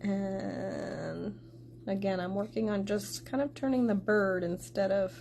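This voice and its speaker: Congested, gravelly woman chatting through a craft demo. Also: medium pitch, slow pace, darkish tone, a little hiss or hum. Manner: chatty, talks straight to viewers. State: congested with a sore throat. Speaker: card-making host. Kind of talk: casual craft tutorial.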